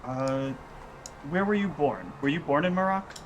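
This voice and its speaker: A man playing in a tabletop roleplaying game, improvising in a deliberate, businesslike way. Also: fairly high pitch, expressive, lo-fi sound, slight background noise.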